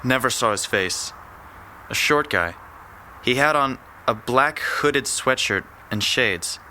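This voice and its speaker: A man's voice: mid-range voice, animated, slow, bright, forceful tone, slight background noise, exaggerated voice. An actor playing a young male petty thug in an audio drama, defensive, pleading and evasive. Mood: nervous, defensive.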